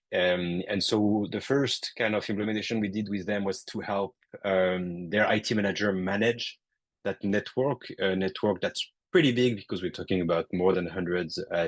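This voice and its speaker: A man with a French accent giving a technical webinar presentation over a call, halting often with fillers and restarts. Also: deep voice. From France. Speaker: guest presenter.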